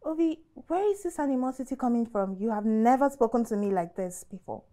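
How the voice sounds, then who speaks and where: A woman's voice: a little high, expressive, brisk, mellow, natural voice. A young woman, acting a guarded, defensive love interest in a film drama.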